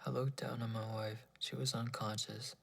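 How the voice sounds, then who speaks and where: A man with a gravelly, husky voice reading a first-person horror story in a brooding, dramatic, deliberate way. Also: mid-range voice, level tone, a bit bright.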